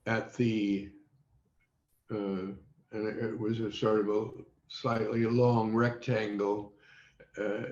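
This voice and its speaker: An older man with a lowish voice recalls the past at a very unhurried, halting pace. He often stops mid-sentence as he searches through memories. The sound is dull and echoes around the room, as on a video call. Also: little pitch movement, darkish tone. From United States, Midwest. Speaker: interview guest recalling radio childhood. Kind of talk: unscripted reminiscence in an interview.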